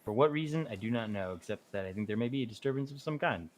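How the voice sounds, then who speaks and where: A man's voice: medium pitch, lively intonation, quick pace. A man chatting casually as a player in a tabletop role-playing game, describing what his character does.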